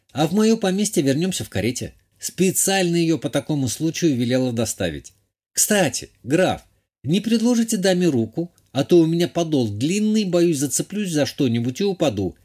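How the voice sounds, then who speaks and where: A man acts out a young countess from an audiobook novel, pitched up. She is genteel and coquettish, socially shrewd and poised. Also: big pitch swings, normal speed, a little mellow. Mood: poised, playful.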